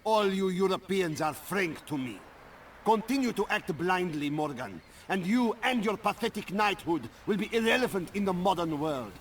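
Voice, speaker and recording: A proud Ottoman commander in a game cutscene. His voice is high for a man and disdainful as he reasons with a rival. It sounds a bit distant, over faint noise. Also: animated, normal speed. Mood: scornful, reasoning. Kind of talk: historical strategy game cutscene acting.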